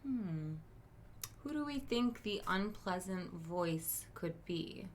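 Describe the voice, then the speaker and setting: A woman teacher reading a storybook aloud to children, expressive, with chatty asides to her listeners. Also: mid-range voice, slow pace, a little hiss or hum. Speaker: elementary school teacher.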